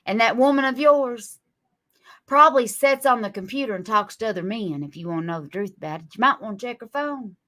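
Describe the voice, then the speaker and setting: A woman in her forties with a drawl, lecturing critics on a live stream. Her bright, forceful voice stays sarcastic and scolding. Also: fairly high pitch, lively intonation, a little fast. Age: 40s. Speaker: livestream guest.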